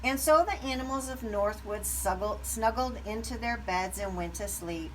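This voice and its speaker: A woman narrating a children's story aloud with expressive, deliberate phrasing. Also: medium pitch, recorded from a distance, poor audio. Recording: background noise.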